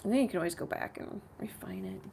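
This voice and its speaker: A woman with a slightly rough voice hosting a casual live painting tutorial, thinking aloud in a rambling way as she works. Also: mid-range voice, fast, mellow, a little hiss or hum. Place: home art studio.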